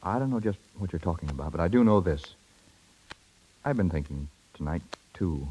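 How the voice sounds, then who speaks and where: An actor playing a proud, stubborn, resentful young husband with emphatic delivery in a 1930s radio drama. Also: male voice, low-pitched, expressive, fairly quick, mellow, slight background noise, low-quality recording. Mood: frustrated.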